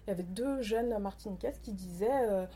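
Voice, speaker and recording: A woman author talking through her research on a panel, pausing on fillers as she works out her phrasing. Her voice is mellow and warm. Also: mid-range voice, a little hiss or hum. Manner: hesitant, many fillers. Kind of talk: academic panel discussion.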